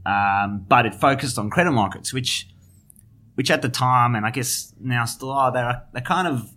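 A man's voice: mid-range voice, moderate pace, pushed and bright. A middle-aged man from South Africa with a South African accent, a finance journalist talking as a guest in a conversational interview, hesitant with many fillers and restarts.

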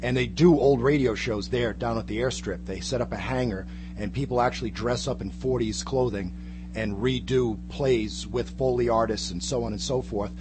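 A man's voice: medium pitch, moderate pace. A man chatting casually as a guest co-host, with hesitant, stumbling starts. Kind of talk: casual chat. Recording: clear background noise and hum.

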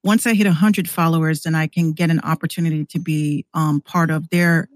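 A woman's voice: sits low, steady tone, a little fast, no echo, studio quality. A woman who streams and podcasts, chatting casually as a guest on a show.

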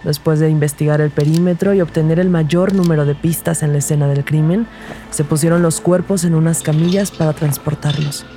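Rookie detective played by a woman, audio drama narrator-lead; soft dark tone, measured and earnest. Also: deep voice, steady tone, faint music behind, close to an everyday voice. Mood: measured, grave.

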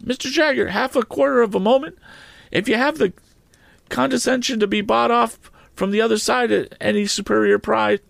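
A man voicing an excitable woman who stammers and repeats herself as she begs a lawyer. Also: extremely high pitch, normal speed, gravelly, no room sound, pitched above the performer's own voice, full character voice. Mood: frantic pleading.

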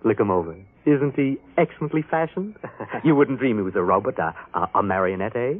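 A man's voice: fairly low pitch, animated, a little fast, narrow, tinny audio. A man playing a smug, scheming, genial husband in an old-time radio drama, with a breezy theatrical manner. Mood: smug, pleased. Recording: band-limited old radio broadcast recording.

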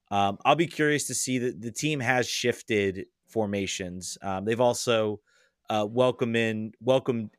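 A man giving casual soccer analysis as a podcast guest, halting with many pauses and restarts. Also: lowish voice, no echo, studio quality.

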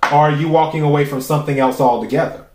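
A man with a raspy voice hosting a podcast, giving a casual, chatty tarot reading and musing aloud with drawn-out pauses. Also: a little high, steady tone, background noise. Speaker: tarot reader and podcast host. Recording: roomy echo.

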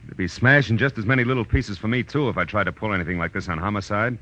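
Hard-boiled radio detective: very low, sardonic, wisecracking, muffled vintage sound. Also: male voice, quick pace. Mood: firm, reluctant. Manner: wry, clipped, sardonic delivery. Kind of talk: 1940s radio acting, first-person narration. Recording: dull old radio recording, loud music.

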